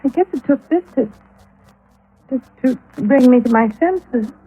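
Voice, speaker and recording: A shaken young woman stammers and halts between words. Her voice is high and breathy. It comes from an old radio broadcast recording. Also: animated, highs cut off, heavily acted. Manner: stammering, halting.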